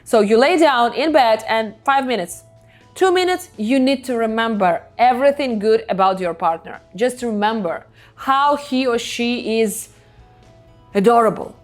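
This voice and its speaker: A woman's voice: fairly high pitch, lively intonation, measured pace, soft background music. A woman from Ukraine with a Ukrainian accent, giving earnest, emphatic self-help coaching to camera in non-native English. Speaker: self-help vlogger and hypnotherapist. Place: indoors, filming herself.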